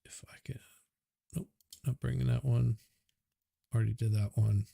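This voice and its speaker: A man's voice: medium pitch, steady tone, creaky, dark tone, breathy edge, no room sound, clean studio sound. A man hosting a livestream, chatting casually and muttering as he tweaks his audio on a cheap USB microphone. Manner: unhurried, chatty, mutters while working. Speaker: livestream host. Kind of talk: casual livestream chat while adjusting audio. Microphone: cheap USB mic.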